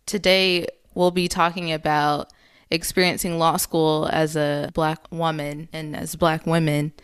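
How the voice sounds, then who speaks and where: Lower-voiced woman hosting a podcast. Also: level tone, measured pace, clear, resonant tone. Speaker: podcast host. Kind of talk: podcast intro and group interview.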